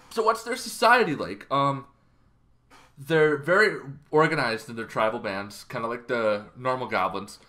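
A man in his thirties explaining things casually as a show host, in an informal, halting way. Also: mid-range voice, pushed and bright. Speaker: host of a tabletop roleplaying lore show. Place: bedroom, indoors.

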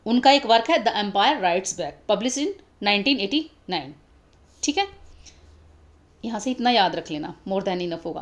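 A young woman, explaining exam questions step by step, repeating key terms. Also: on the high side. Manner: explanatory, repeats key terms, mixes English titles into Hindi. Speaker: exam-prep teacher. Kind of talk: explaining exam questions over annotated screen.

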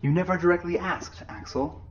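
A man playing a deadpan, literal-minded shipboard computer in a sci-fi audio drama, speaking formally and precisely. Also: sits high, normal speed, close to an everyday voice. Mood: flat, formal. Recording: dull, band-limited sound.

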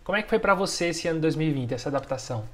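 A young man interviews his guest over a webcam from a room at home, firing off long, friendly questions at a quick clip. His voice sits a little high for a man. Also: lively intonation. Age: young adult. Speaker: interviewer, host. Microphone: video call, laptop webcam.

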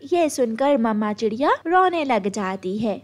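A woman narrates a children's story in a high voice. Her pitch moves a great deal, giving the scripted storytelling an animated, expressive shape. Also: a little slow.

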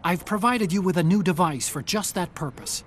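An actor playing an earnest, brainy tech ally in a video game, giving clear, urgent mission briefings. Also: male voice, high voice, moderate pace, fairly bright tone, slight background noise. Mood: explanatory.

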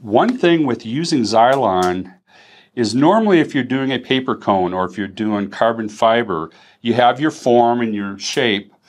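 A man's voice: medium pitch, lively intonation, a little slow. A middle-aged man giving an informal product explanation in a conversational way, as a company representative. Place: small indoor demo room.

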